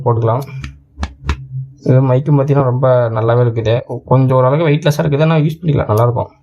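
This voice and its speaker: A man's voice: mid-range voice, dark tone. A man giving a casual, colloquial product review, explaining things repetitively to viewers as a tech channel host.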